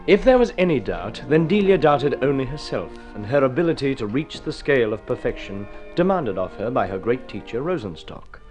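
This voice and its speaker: An Australian actor playing an urbane storyteller, narrating a mid-century radio drama in a measured, gently ironic way. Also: male voice, medium pitch, very animated, lightly acted. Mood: wry. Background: faint music.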